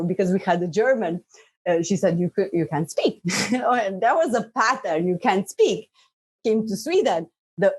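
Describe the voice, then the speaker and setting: A middle-aged woman from Slovenia whose first language is Bosnian, telling her story as a podcast guest in a lively, rambling way, full of fillers and laughs. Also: fairly low pitch, breathy edge. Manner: lively, frequent "like" and "you know" fillers, laughs often. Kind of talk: casual interview talk.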